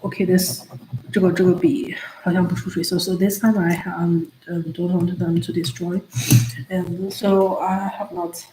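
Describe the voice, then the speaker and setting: A woman whose first language is Chinese, chatting on a study livestream in a halting way, with many fillers and restarts. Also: lowish voice, little pitch movement. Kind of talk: casual study-stream chat. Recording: echoey room with faint ambient noise.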